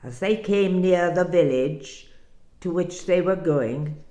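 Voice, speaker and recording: A woman giving an expressive scripture reading in a deliberate manner with dramatic pauses. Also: fairly low pitch, echoey room. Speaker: scripture reader.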